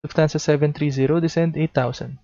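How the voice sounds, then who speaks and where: A man's voice: a little high, steady tone, quick pace, vocal fry, warm tone, dry recording. A Filipino-accented man in his thirties, teaching air traffic control in an instructional way, on a headset mic. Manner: instructional, mixes Tagalog into English. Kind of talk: demonstration lesson with radio instructions. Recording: dull lo-fi close recording.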